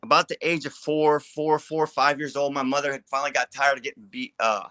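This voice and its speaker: Bright, dry-voiced man in his forties. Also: mid-range voice, little pitch movement, brisk, dry recording. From California, USA. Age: 40s. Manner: candid, plainspoken, casual grammar. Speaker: recovery advocate guest. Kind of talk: personal testimony.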